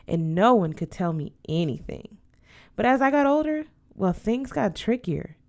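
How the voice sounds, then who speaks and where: A woman's voice: mid-range voice, very animated, normal speed, darkish tone. An affectionate older godmother from a storybook, acted out by a woman reader. She is proud and a little fussy, and she explains things firmly and with emphasis, like someone used to giving advice. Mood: gently advising.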